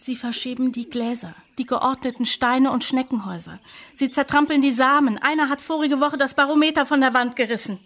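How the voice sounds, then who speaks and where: An actress playing an exasperated, bitter grown daughter of an old scholar, complaining pointedly in a radio play. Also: female voice, high-pitched, a little fast, a bit bright. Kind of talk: German radio play acting.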